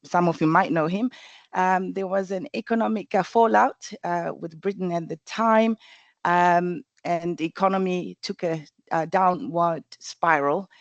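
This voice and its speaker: A woman from Zimbabwe, introducing herself as a governor in a reflective, halting way. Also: fairly low pitch, dry recording. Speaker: public governor. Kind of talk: personal introduction at a meeting. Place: remote video call. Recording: dull, lo-fi video call audio.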